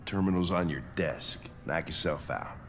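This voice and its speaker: Very deep, gravelly police lieutenant. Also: male voice, moderate pace, background noise. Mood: curt, grudging. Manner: gruff, curt, hostile, swearing. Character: gruff, bitter, foul-mouthed male police lieutenant. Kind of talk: video game acting.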